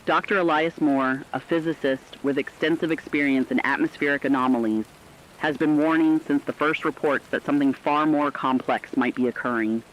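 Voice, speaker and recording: A man's voice: mid-range voice, lightly acted. A solemn male radio co-anchor played by an actor reads a dramatic scripted bulletin about a disaster. His mid-range voice is articulate, and the sound is slightly dull, with faint rain noise behind it. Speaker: news co-anchor.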